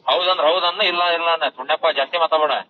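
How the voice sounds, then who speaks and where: A man's voice: a little high, fairly even intonation, very fast. A man with a North Karnataka accent making a prank phone call, trading banter with the person he rang. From northern Karnataka, India. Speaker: prank caller. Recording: dull noisy phone call recording.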